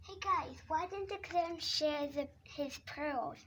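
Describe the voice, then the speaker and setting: A woman with a youthful voice playing a lonely big male fish, earnest and eager to please, in a halting storybook read-aloud. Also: sits high, bright, forceful tone, a little hiss or hum, full character voice. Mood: joking. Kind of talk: readers theater storybook performance.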